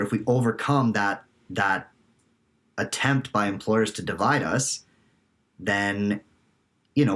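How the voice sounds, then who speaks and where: Fairly low Canadian man explaining. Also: a bit bright. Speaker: podcast guest, labour organizer and academic. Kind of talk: conversational political commentary.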